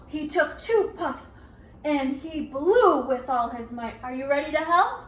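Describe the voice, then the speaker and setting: A woman reading a storybook aloud to children in an expressive, interactive way, with dramatic gasps and prompting questions. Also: high voice, takes it slowly. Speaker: children's story reader, teacher. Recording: roomy, distant, faint background noise.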